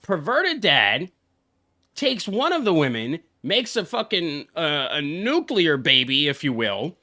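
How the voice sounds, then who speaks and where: A man's voice: on the high side, sing-song, slow, bright, forceful tone, no echo. A young man, a game streamer, giving casual live reaction commentary in a brash, exclamatory, heavily profane way. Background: game music.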